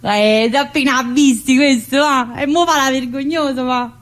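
A woman's voice: high-pitched, normal speed, close to an everyday voice. An actress playing a talkative, earthy woman inmate in a theatrical monologue, rambling colloquially with a central-southern Italian accent. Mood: cheeky, curious. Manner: colloquial, rambling, full of interjections.

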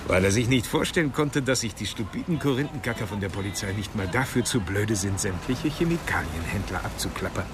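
A man's voice: lowish voice, expressive, a little fast, hardly any pauses, a crowd in the background, natural voice. A man in a German radio crime drama playing a sardonic police detective who reports facts in a clipped way. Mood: dry, sardonic. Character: sardonic male police detective, brisk and factual. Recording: noisy outdoor traffic background.

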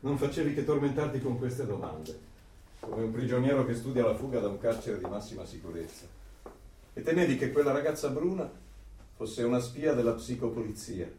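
An actor playing a solemn, knowing male confidant who speaks deliberately to a tormented man in a radio drama. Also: mid-range voice, lively intonation, mellow, recorded from a distance, a little hiss or hum, lightly acted. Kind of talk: theatrical radio drama acting. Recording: echoey, off the microphone.

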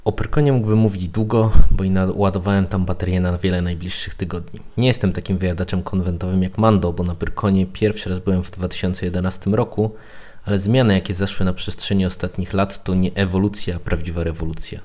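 A man's voice: low-pitched, steady tone, a little fast, warm tone. A man speaking as a podcast guest, giving a prepared spoken review.

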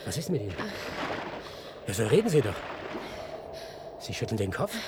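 An actor playing a brusque, worried police inspector in a German radio play, with clipped, questioning dramatic delivery. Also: male voice, lowish voice, sing-song, moderate pace, very noisy. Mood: urgent, impatient.

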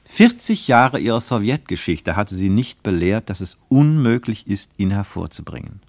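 Guy narrating a literary radio drama, really expressive pitch swings, dry sound. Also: moderate pace, dry recording.

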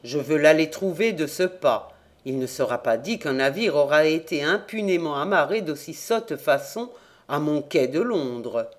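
A reader voicing an old king who is irritable and quick to anger, speaking in a gruff, blustering way. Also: medium pitch, lively intonation, natural voice. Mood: indignant.

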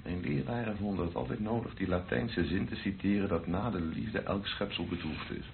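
A troubled tender priest in a radio play, real deep voice, muffled noisy old recording. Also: male voice, normal speed, creaky. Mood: tender, defiant. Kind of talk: Dutch radio drama acting.

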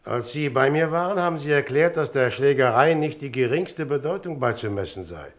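An actor playing a composed police chief inspector in a radio crime drama, questioning tersely and with authority. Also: male voice, medium pitch, lively intonation, brisk, natural voice. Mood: probing, composed.

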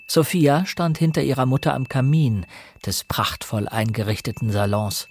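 An actor playing an observant young gentleman who narrates a murder mystery in a measured, literary radio drama style. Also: mid-range voice, fairly even intonation, slight background noise, natural voice.

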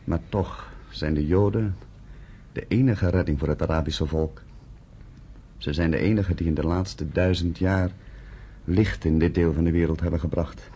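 Very deep, grave village headman. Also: fairly even intonation, normal speed, warm tone, a little hiss or hum. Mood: solemn, earnest. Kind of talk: radio drama acting.

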